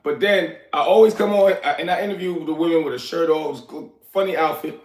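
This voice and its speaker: A man's voice: on the high side, a little fast, a little mellow. Rambling 40s man, echoey room. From Liberia. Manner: loose, rambling, answers chat by name. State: recovering from illness, coughing. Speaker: livestream host. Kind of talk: casual livestream chat. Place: apartment.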